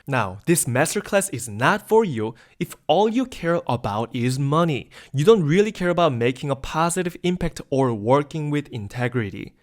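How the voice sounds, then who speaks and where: A man in his thirties from South Korea hosting a webinar, delivering an upbeat, persuasive scripted sales pitch. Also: very animated, normal speed, hardly any pauses. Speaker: webinar host.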